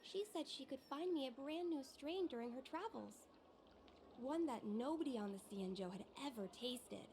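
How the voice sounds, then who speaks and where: A woman's voice: high-pitched, lively intonation, moderate pace, a little mellow, a little hiss or hum. A woman voicing an earnest, cheerful young female tea house owner in a video game, polite and heartfelt.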